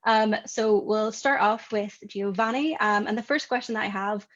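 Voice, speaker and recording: Upbeat webinar host, muffled call audio. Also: female voice, mid-range voice, normal speed, a bit bright. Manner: upbeat, encouraging. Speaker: panel moderator. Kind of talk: hosting a webinar panel.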